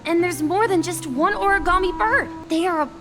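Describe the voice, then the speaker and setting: A woman's voice: very high-pitched, brisk. A woman voicing an earnest young boy who dreams of adventure at sea, in a video game. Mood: eager. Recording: game music under the voice.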